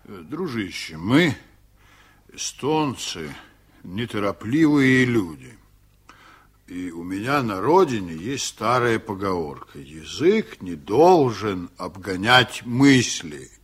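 An actor in a Soviet radio play as a steady, evasive Estonian sea captain, speaking deliberately and with authority. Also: male voice, lowish voice, breathy edge, full character voice. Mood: guarded, deliberate. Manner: measured, deliberate, hesitating before answers. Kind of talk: Soviet radio drama acting.

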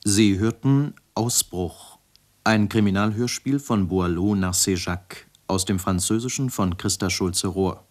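A male radio announcer with a deep voice reads formally. He is measured and precise, taking his time over each item. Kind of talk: formal scripted credits reading.

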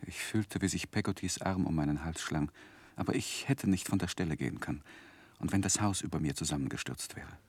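Deep-voiced, sensitive gentleman narrator. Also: slightly rough, no echo, full character voice. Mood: sorrowful, haunted. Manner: measured, dramatic, emotionally weighted. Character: sensitive gentleman narrating his painful memories. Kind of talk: 1960s German radio play narration.

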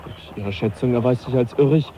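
A man acting in a German radio drama as a reserved, uneasy young gentleman naturalist, speaking in a sober, formal, dry way. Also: medium pitch, fast talker, close to an everyday voice. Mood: sceptical, dry. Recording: dull, noisy 1980s radio drama.

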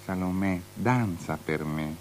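An actor playing a capricious, lustful, superstitious tetrarch in avant-garde radio theatre, declaiming and lurching between outbursts and breathy murmurs. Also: male voice, deep voice, slow pace, darkish tone. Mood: coaxing. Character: capricious, lustful, superstitious male tetrarch, unstable and self-pitying. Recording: noisy, humming old radio drama recording.

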